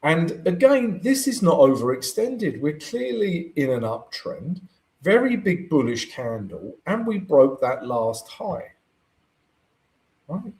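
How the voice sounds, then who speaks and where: A man with a croaky voice talks through his charts on a live trading stream. His pitch swings up and down as he goes, and he keeps checking in with his viewers. He reads out chat comments and answers them. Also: very animated, slow, darkish tone, rough recording. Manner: chatty, keeps asking "right?" to the audience.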